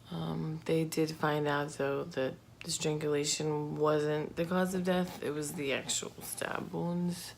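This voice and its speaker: Low slow-talking girl vlogging true crime, chatty n slangy, bit of noise behind her. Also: gravelly. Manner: informal and conversational, slangy asides. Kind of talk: casual true crime storytelling.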